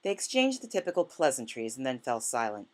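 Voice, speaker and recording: A woman reading literary fiction aloud as scripted narration, in a measured manner. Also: extremely low pitch, sing-song. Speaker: author reading a short story.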